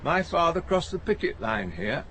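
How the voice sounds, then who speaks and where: A man's voice: sits high, very animated, moderate pace, creaky, fairly bright tone, slight background noise, full character voice. An actor playing a stubborn, gloomy older working man with a Lancashire accent, terse and blunt, in a naturalistic radio drama. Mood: weary.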